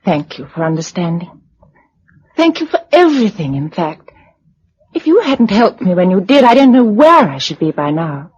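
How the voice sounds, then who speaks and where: A woman's voice: lowish voice, big pitch swings, moderate pace, a little mellow, breathy edge. An actress playing a spirited, well-bred young woman in a radio drama, with an upper-class British accent and refined, playful delivery. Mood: wistful, grateful. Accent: upper-class British English. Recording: dull, muffled old radio recording.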